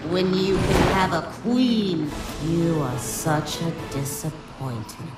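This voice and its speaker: A woman's voice: fairly low pitch, lively intonation. An actress playing a seductive, mocking, mad false queen who draws out her taunts in a video game. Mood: mocking seduction. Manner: slow, drawn-out taunting. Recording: roomy, distant, loud music behind.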